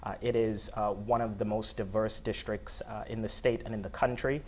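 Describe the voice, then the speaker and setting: A man's voice: fairly low pitch, little pitch movement, moderate pace, slight background noise. A man from Trinidad and Tobago, a political candidate, answering interview questions steadily with many fillers.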